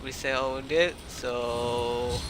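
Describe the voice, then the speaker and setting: A man who is not a native English speaker, chatting haltingly in broken English while live-streaming a game. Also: fairly even intonation. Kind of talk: casual live-stream gameplay chat. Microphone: uncovered microphone without windscreen. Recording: windy blown mic, loud rumbling noise.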